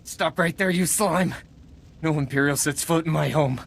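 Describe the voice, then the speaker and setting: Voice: mid-range voice, normal speed, gravelly. Strained, wounded, defiant colonist. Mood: angry, accusing. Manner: accusing, strained. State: in pain, gasping. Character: wounded, bitter, defiant colonist of a conquered world. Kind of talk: dramatic video game acting.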